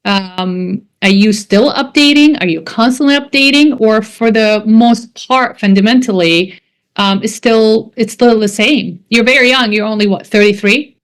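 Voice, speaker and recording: A middle-aged woman from Jordan, hosting a podcast interview in an unhurried, emphatic way that repeats key words. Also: a little high. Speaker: podcast host. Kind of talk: casual interview chat.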